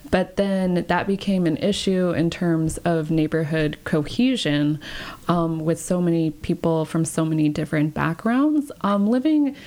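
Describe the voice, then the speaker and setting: A woman's voice: on the low side, measured pace, darkish tone. A woman in her thirties from Texas, an artist talking casually in an interview with many fillers. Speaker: artist guest.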